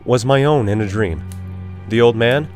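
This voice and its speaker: A man voicing a guilt-crazed murderer in a theatrical, deliberate dramatic reading of a gothic horror story. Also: medium pitch, a little mellow, faint music behind, voice pushed up, close to an everyday voice. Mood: smug confidence. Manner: theatrical, deliberate phrasing with drawn-out words. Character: guilt-crazed murderer, feverishly confident, unraveling into frantic confession.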